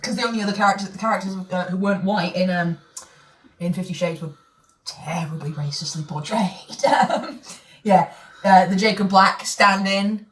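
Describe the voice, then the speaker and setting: A woman's voice: medium pitch, normal speed. Woman ranting about a book, um-ing and restarting, sounds far off in a roomy echo. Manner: chatty, mocking, many restarts and fillers. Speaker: co-host reading a novel aloud. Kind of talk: casual chat and read-aloud commentary. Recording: echoey room, mic far off.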